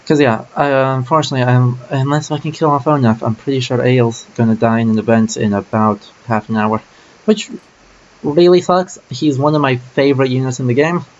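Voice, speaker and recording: A man's voice: mid-range voice, fairly even intonation, brisk, dull audio, slight background noise. A man streaming a game, musing aloud casually about his choices as he plays. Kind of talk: let's play commentary.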